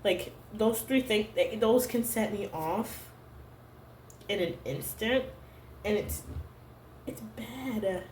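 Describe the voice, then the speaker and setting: A young adult woman vlogging to camera at home, talking in a halting, searching way full of "like" fillers. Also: mid-range voice, a little mellow, a little hiss or hum. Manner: hesitant, halting, heavy use of "like" fillers. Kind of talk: personal confessional vlog. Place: room at home. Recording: roomy home echo.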